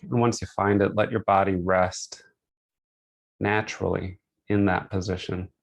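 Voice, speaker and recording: Low-pitched, creaky-voiced man guiding meditation. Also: little pitch movement. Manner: gentle, unhurried phrasing with pauses. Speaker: guided meditation leader. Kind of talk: guided meditation, instructional and soothing.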